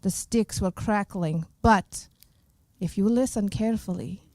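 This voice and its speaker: Woman playing brooding fairy-tale witness, mysterious, ominous, melodramatic, mid-pitched, comic radio play, dry recording. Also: a little slow, darkish tone, dry recording, lightly acted.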